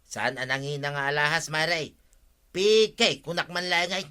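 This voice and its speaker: Sharp-voiced, exasperated Ilocano husband. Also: male voice, fairly high pitch, normal speed, clean tone. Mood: dismissive. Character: exasperated, defensive husband of a nagging wife. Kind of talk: radio comedy drama acting.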